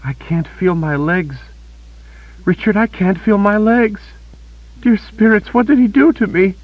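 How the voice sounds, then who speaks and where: A man's voice: fairly high pitch, a little slow, narrow, tinny audio, pitched above the performer's own voice. A male narrator voicing a wounded, desperate young woman who pleads with breathless panic. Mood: panicked.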